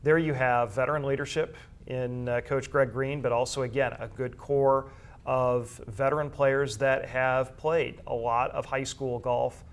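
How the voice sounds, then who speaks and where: A man discussing high school sports in a conversational way, explaining at length with measured, slightly halting phrasing. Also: flat delivery.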